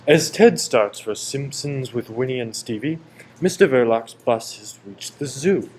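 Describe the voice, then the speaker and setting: A man's voice: medium pitch, lively intonation, measured pace, darkish tone, slightly rough. A man reading scripted narration for a radio play.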